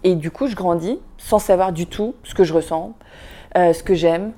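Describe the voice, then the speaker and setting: A woman with a low, mellow voice talks at length in a casual interview. She is thoughtful and candid, pausing often and restarting her sentences as she gathers her thoughts. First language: Vietnamese. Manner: thoughtful, many fillers and restarts, addresses listener with "tu vois". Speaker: podcast guest, filmmaker. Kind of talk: casual reflective interview talk.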